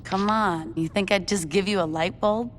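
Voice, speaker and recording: An actress playing a laid-back, wisecracking young woman gang member in a video game, casual and deadpan. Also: mid-range voice, normal speed, heavy background noise, lightly acted.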